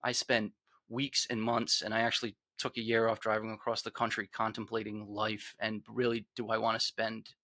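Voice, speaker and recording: A man's voice: on the low side, monotone, moderate pace, no echo, studio quality. A man in his forties with a Rhode Island accent, earnestly telling his personal story as a podcast guest. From Rhode Island, USA. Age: 40s. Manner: earnest, reflective, trails off quietly.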